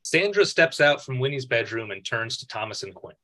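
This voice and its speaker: A man's voice: medium pitch, very animated, brisk, pushed and bright. A man reading screenplay stage directions as narrator at a table read.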